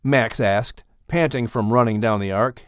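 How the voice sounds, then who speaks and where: A man narrating a children's audiobook in an expressive, scripted storytelling style. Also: mid-range voice, measured pace, clean tone, no room sound, clean studio sound.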